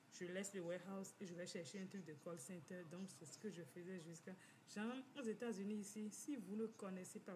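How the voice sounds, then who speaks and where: A woman telling her own story in a halting way, with many restarts and repeated phrases. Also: mid-range voice, darkish tone, a little hiss or hum, poor audio. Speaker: community finance speaker. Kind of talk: personal testimony talk. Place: living in the United States.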